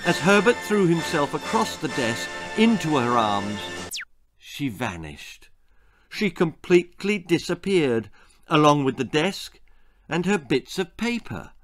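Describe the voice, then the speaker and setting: Older man telling stories, higher-pitched voice. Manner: expressive, measured, suspenseful. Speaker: author reading own story. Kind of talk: dramatic children's storytelling. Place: small book-lined room at home. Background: music.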